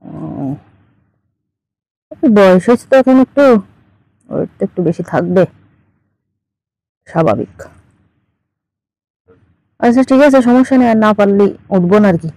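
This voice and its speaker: A woman's voice: mid-range voice, expressive, moderate pace, pauses often, mellow, no echo, lightly acted. A woman with a Bangladeshi accent playing a teasing, demanding older aunt in an improvised phone-call drama. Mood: needling, matter-of-fact. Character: teasing, demanding older aunt who owns a fish farm.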